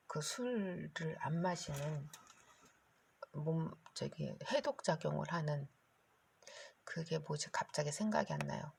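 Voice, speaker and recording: A middle-aged woman talks into her phone in a long, rambling, anxious monologue. Her voice is low and very gravelly, with a husky, breathy edge, and she sounds hoarse. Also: darkish tone. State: hoarse, keeps clearing throat. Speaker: vlogger. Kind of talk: casual monologue to camera.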